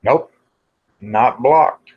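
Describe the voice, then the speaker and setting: Male livestream host, fifties. Very slow, folksy rambling, half muttered to himself, through dull lo-fi sound. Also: medium pitch, husky. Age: 50s. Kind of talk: casual rambling live chat.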